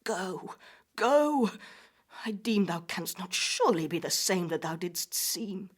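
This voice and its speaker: A man's voice: high voice, expressive, takes it slowly, voice pushed up, heavily acted. A man voicing a frail, fearful, scolding old nursemaid with a thin, whispery, quavering voice in a dramatic audio poem. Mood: indignant. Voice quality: breathy, whispery, thin aged voice. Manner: quavering, urgent, archaic speech. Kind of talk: dramatic poetry recital.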